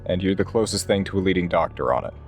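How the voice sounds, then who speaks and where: A man playing a tabletop roleplaying game, improvising in-character lines with measured, somewhat formal phrasing. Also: deep voice, animated, soft background music.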